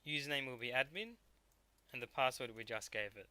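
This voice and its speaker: Bright, crisp-edged man giving instructions. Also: mid-range voice, fairly quick, no room sound. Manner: instructional, step-by-step. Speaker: technical instructor. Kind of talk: scripted software tutorial narration.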